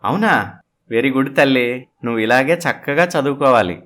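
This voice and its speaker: A man playing a mild middle-aged father who talks in a measured, reasoning way in an animated moral story. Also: medium pitch, close to an everyday voice. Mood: approving, gentle.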